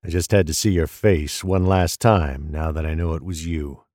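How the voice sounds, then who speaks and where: A man playing a grim, weary older police detective in an audio drama, measured and stern. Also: very deep voice, steady tone, darkish tone, no echo, studio quality. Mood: stern questioning.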